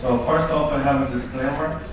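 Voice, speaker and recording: A man's voice: medium pitch, steady tone, a little fast. A middle-aged man with an Irish accent giving an informal, wry and self-deprecating talk to an audience. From Ireland. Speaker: hotel director on a ship. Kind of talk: informal, joking introduction to an audience. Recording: distant, very echoey noisy room.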